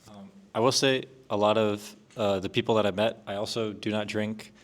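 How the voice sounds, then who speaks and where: A young man speaks in a somewhat low voice on a student panel. His talk is relaxed and full of fillers and restarts. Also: moderate pace. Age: young adult. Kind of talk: casual discussion.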